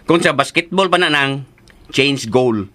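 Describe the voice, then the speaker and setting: Fussy teasing father in a radio drama, really bright piercing voice, swoops up and down. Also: medium pitch, lively intonation, a little slow. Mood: teasing, exasperated. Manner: exclamatory, scolding and coaxing. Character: excitable, protective, teasing father of a simple daughter.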